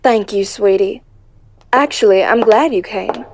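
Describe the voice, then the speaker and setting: A woman voicing a poised, wry female cat who runs a speakeasy, genteel and unhurried, in a comic dub. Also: medium pitch, noisy background, lightly acted. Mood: sweet, composed. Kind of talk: animated comic dub acting.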